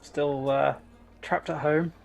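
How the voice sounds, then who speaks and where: A man co-hosting a podcast in casual chat, with a halting, dry way of talking. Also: a little high, fairly even intonation, gravelly, faint music behind. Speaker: podcast co-host.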